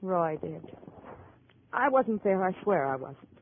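Tough, weary criminal's wife, 1950 radio play, dull hissy sound. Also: female voice, lowish voice, very animated, slow, full character voice. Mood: bitter, confessing. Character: hard-bitten, deceitful female gangster's wife. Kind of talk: 1950s radio acting. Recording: narrow, noisy 1950 radio recording.

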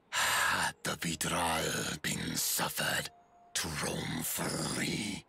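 An actor playing a huge, ancient giant chieftain in a video game, speaking gravely and formally in a rumbling voice. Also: male voice, pushed and bright, heavily acted. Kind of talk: video game cutscene acting.

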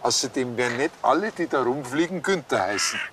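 Man acting a Bavarian cop, mid-pitched, naive. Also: lively intonation, brisk, a bit bright, a little hiss or hum, close to an everyday voice. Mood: pleased, casual. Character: naive, easygoing, self-satisfied male small-town policeman. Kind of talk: TV crime comedy acting.

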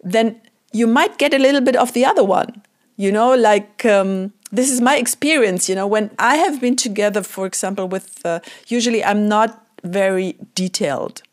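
A woman hosting an interview in English, her second language after German, often searching for words and filling pauses with "you know". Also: mid-range voice. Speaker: podcast host. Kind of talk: conversational interview.

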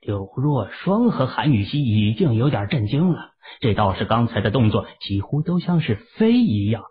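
A male audiobook narrator with a husky, breathy voice who tells the story with lively, rising and falling intonation. The sound is tinny and narrow, like it comes over a phone line. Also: medium pitch, moderate pace. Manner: expressive, dramatic pacing.

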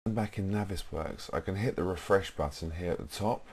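A young British man presenting a software tutorial, explaining informally step by step in a husky voice. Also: sits low, a little fast. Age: young adult.

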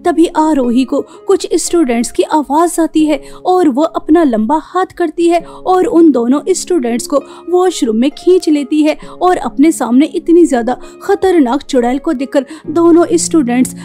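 Female horror narrator: extremely high yet mellow, unhurried scripted storytelling over quiet music.

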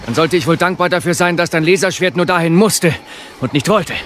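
A man's voice: a little high, a little fast, fairly bright tone, slight background noise, natural voice. A man playing a composed, polite Jedi general in an audio drama, speaking with dry irony. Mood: dry, reproachful. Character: composed, wry Jedi master and general, male.

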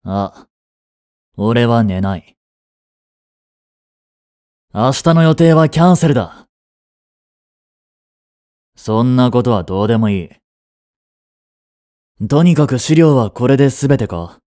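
A cold young prince, acted by a man, speaks in a mellow, dark voice that moves widely in pitch. He is curt, haughty and commanding, with a protective streak underneath. The romantic audio drama is recorded dry and close. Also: expressive, slow, pauses often, no room sound. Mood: subdued, brooding. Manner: imperious, curt, scolding.

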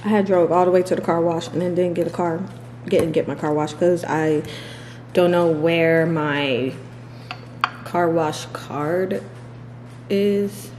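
A woman's voice: on the low side, steady tone, slow pace, pauses often, a little mellow. A woman, chatting casually to camera in a vlog, laughing often and talking to her dogs. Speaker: vlogger. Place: large bright living room at home. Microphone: camera on a table close by. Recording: echoey room with clear background noise.

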